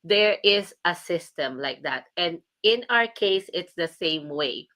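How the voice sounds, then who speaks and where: A woman's voice: on the low side, lively intonation, takes it slowly, bright, forceful tone. A woman from the Philippines hosting a casual livestream as a business coach, chatty and upbeat, laughing often.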